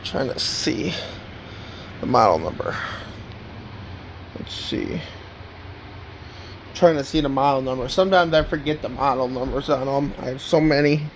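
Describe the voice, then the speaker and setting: A man giving a casual home video walkthrough as a hobbyist, haltingly, often trailing off and repeating words, recorded indoors on a handheld camera. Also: medium pitch, low-quality recording. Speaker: hobbyist showing a weather radio collection. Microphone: handheld camera microphone. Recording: steady background noise, handheld home camera.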